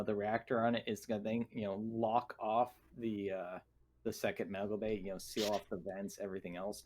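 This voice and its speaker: A man with a fairly low voice takes part in a tabletop roleplaying game. He talks casually and haltingly, thinking his plans through as he speaks. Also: darkish tone.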